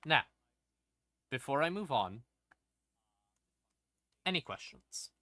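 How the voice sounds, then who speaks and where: Bright-voiced man explaining lore on stream. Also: mid-range voice, very animated, dry recording. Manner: halting phrasing with pauses and emphatic stresses. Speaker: livestream host. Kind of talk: casual lore explainer to a live audience.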